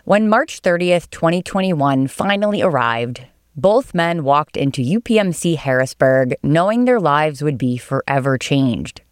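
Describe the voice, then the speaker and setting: A woman reading scripted documentary narration in a polished, measured way. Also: low voice, animated, clear, resonant tone, no echo, studio quality. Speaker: narrator and host.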